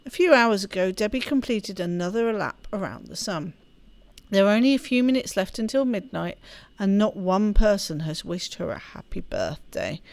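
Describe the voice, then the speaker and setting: A woman's voice: mid-range voice, expressive, normal speed, gravelly, darkish tone, no echo. A woman hosting a gaming video, chatting casually and reading story text aloud with expression. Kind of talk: let's play chat and expressive read-aloud narration.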